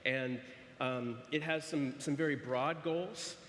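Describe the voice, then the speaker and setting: A man's voice: mid-range voice, slow pace, a bit bright. A man from San Francisco giving a conference presentation as an architect, often restarting his sentences with fillers. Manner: hesitant, frequent restarts and fillers. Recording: echoey hall, faint noise.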